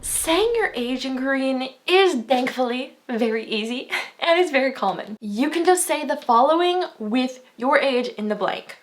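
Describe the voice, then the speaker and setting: A woman's voice: high voice, animated, a little slow. A woman, giving a chatty, upbeat video language lesson and laughing often. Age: 20s. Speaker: language teacher.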